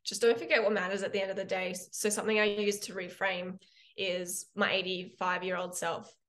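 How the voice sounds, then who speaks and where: A woman's voice: medium pitch, little pitch movement, fairly quick, fairly bright tone. A young woman who works as a personal trainer and health coach, speaking frankly and reflectively as a podcast guest, telling her stories with some animation. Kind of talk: conversational podcast interview.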